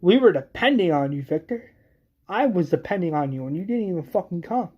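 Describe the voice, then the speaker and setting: A man voicing a furious, bitter woman who shouts and swears accusingly in a told drama story. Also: fairly high pitch, unhurried, slightly rough, lo-fi sound. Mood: hurt, angry.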